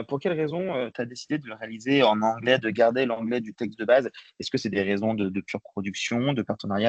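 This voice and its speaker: A man's voice: mid-range voice, dry recording, lo-fi sound. A man moderating a Q&A, relaying audience questions in an informal, conversational way, circling hesitantly before each one. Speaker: moderator of a filmmaker Q&A with students.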